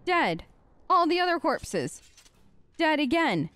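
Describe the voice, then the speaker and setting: A woman's voice: high-pitched, big pitch swings, slow pace, soft background music. A woman giving casual, playful let's play commentary, chatty and exclaiming, with game sounds behind her. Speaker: gaming host. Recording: loud game noise behind voice. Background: game sounds and ambience.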